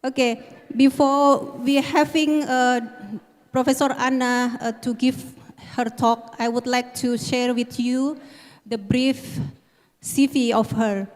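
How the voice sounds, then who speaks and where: A woman moderating a conference session, polite and halting with fillers. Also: sits high, steady tone. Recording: reverberant conference hall.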